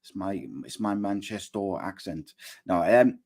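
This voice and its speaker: A man hosting a casual football podcast, talking in a relaxed, hesitant way that often trails off quietly. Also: fairly low pitch, a little slow. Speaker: podcast host.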